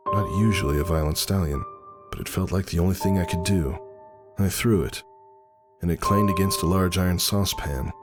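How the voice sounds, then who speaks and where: Somber, suspicious pony husband, read by a man, deep-voiced dramatic first-person storytelling over music. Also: close to an everyday voice. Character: brooding male pony husband, anxious and suspicious. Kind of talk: dramatic audiobook narration.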